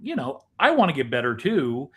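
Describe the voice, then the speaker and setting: A man co-hosts a podcast in a casual, conversational style. His voice sits at an ordinary middle pitch for a man. He talks as in everyday chat. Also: huge pitch swings, moderate pace. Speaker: podcast co-host.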